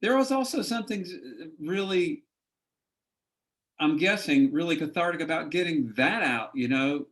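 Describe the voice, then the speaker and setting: Man interviewer, a bit high-pitched, eager and stammering, casual chat. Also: expressive, moderate pace, a bit bright. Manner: enthusiastic, stammering repetitions. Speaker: interviewer host.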